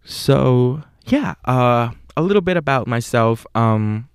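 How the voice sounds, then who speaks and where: Slow, gravelly young man with a Puerto Rican accent. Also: medium pitch, lively intonation, no echo, clean studio sound. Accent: Puerto Rican Spanish. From Puerto Rico. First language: Spanish. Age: young adult. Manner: earnest, with frequent fillers and self-corrections. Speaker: podcast host. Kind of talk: solo podcast monologue.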